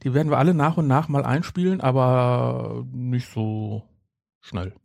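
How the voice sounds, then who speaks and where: A man's voice: medium pitch, steady tone, a little slow, gravelly, a little mellow, no echo, clean studio sound. A man, chatting casually as a podcast co-host. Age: 50s. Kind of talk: casual chat about audio dramas.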